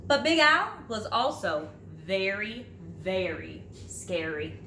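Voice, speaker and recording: A woman teacher reading a children's storybook aloud in an expressive, dramatic way with drawn-out phrases. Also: medium pitch. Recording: roomy echo, light background noise.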